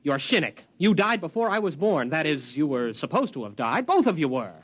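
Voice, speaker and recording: A nervous new overlord in a 1950s radio drama. His voice sits a little high and swoops widely. He is hesitant and flustered, excitable under pressure. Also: brisk, husky, heavily acted. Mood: urgent, excited.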